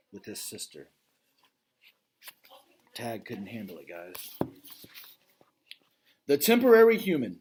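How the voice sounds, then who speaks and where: A man, a father, reading a children's novel aloud and pausing to chat with his listeners about the story. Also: medium pitch, breathy edge. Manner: expressive reading, breaks off to comment directly to listeners.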